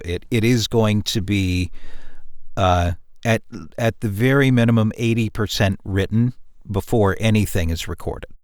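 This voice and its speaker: A man in a casual behind-the-scenes podcast discussion, speaking as a show's creator in a halting, reflective way with repeated words. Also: lowish voice, no room sound, clean studio sound. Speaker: audio drama creator and producer.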